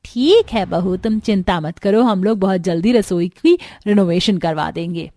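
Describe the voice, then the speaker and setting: A woman's voice: medium pitch, normal speed, mellow, lightly acted. A woman voicing a middle-aged, concerned and bossy Indian mother-in-law in a cartoon family story, with exaggerated expression. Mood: apologetic.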